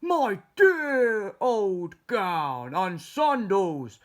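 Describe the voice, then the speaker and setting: A man's voice: high-pitched, very animated, drawn-out words, fairly bright tone, voice pushed up, heavily acted. A man voicing a kind-hearted storybook giant in an emphatic, exclamatory way. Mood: delighted. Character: cheerful, kind-hearted young male giant.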